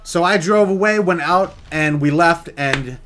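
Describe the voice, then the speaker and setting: A man's voice: a little high, unhurried, a bit bright. A young American man telling a story in a casual, rambling, jokey vlog style. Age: young adult.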